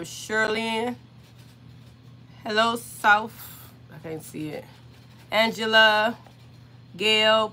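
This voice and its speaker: A woman in her forties, hoarse and scratchy from being sick, chatting on a livestream from her home craft room and greeting viewers by name. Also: on the high side, bright, forceful tone. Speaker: craft channel host.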